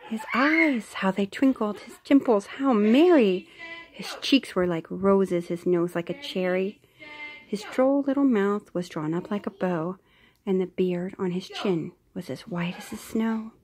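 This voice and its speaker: A woman's voice: medium pitch, measured pace. Mother reading a storybook aloud. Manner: gentle, with soft whispered asides.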